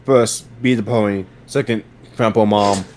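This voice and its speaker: A man's voice: lowish voice, fairly even intonation, measured pace, a little mellow, slight background noise, close to an everyday voice. A man playing a bossy, impatient voice that gives terse orders to a story's hero. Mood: flat commanding. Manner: terse, imperative commands.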